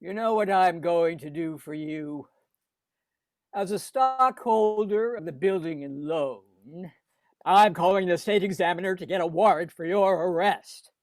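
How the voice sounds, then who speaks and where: A man playing a cruel, contemptuous old rich businessman in a radio play, speaking slowly and deliberately. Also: high voice, no echo. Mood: gloating, scornful. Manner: contemptuous, gloating, slow and deliberate. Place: home recording over video call.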